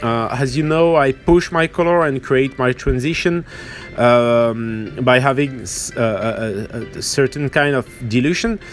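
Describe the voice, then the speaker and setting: French-accented man, slow halting speech. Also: mid-range voice, soft background music. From France or French-speaking region. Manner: hesitant, halting, searching for words. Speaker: miniature painting tutorial host. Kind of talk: informal hands-on tutorial commentary.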